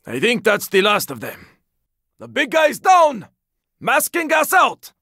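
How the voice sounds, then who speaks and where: A gruff male survivor from a zombie shooter game, battle-worn and protective. His voice is raspy and pitched very high for a man, swinging widely as he delivers terse combat callouts. The sound is close and dry. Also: wildly swooping pitch, a little slow, no room sound, exaggerated voice. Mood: terse, focused. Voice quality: gravelly, raspy. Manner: terse, intense callouts, often strained or shouted. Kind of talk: video game combat voice lines.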